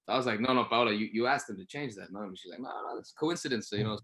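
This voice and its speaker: A man's voice: on the low side, racing, a bit bright. A man, an actor, talking casually and informally in a panel discussion. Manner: informal, peppered with "you know".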